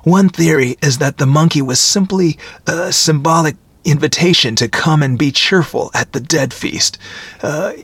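A man playing a courteous, knowing old antique dealer in story dialogue, with a mellow, diffident manner. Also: a little high, moderate pace, breathy edge, no room sound. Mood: scholarly. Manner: friendly, diffident, chuckling scholarly precision.

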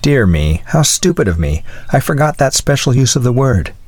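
A man's voice: medium pitch, big pitch swings, normal speed, darkish tone, no echo, voice pushed up. A man playing an absent-minded, pedantic philosopher in a storybook, with a precise, apologetic and bookish manner. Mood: apologetic, puzzled. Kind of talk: storybook dialogue.

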